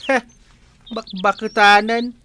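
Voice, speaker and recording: A man's voice: sits high, little pitch movement, slow, pushed and bright, full character voice. An actor playing a blunt, grumbling older rural father with an Ilocano accent in a radio drama. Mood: grumbling, critical. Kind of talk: Filipino radio drama acting.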